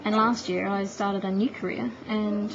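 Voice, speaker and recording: A woman, a teacher answering interview questions in a relaxed, reflective way with easy laughs. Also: medium pitch, normal speed, vocal fry. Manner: chatty, laughs easily, reflective. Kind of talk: casual phone interview. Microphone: phone. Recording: muffled phone-call recording with crowd noise behind.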